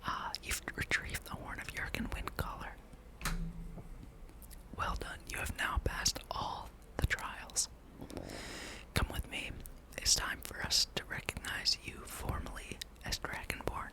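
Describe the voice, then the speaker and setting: Someone reading as a grave old fantasy monk, all whispered, breathy, formal and solemn. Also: a little slow, close to an everyday voice, low-quality recording. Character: grave old monk mentor reciting ceremonial teachings. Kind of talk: whispered read-aloud of fantasy game dialogue.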